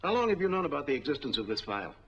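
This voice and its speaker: An actor playing a middle-aged, skeptical bank officer, formal and probing, in a 1940s film drama. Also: male voice, mid-range voice, big pitch swings, very fast, breathy edge. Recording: noisy, dull 1940s film soundtrack.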